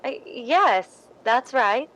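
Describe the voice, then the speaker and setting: A woman's voice: on the high side, animated, drawn-out words, a bit bright, full character voice, low-quality recording. Woman playing a coy young stage actress. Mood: evasive. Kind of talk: old-time radio drama acting.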